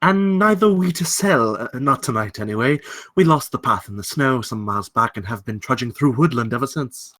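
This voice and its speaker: An actor playing a middle-aged English merchant with an English accent, florid, polite and well-spoken, in a screenplay table read. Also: male voice, medium pitch, lively intonation, moderate pace, fairly bright tone, no echo. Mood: genial, polite.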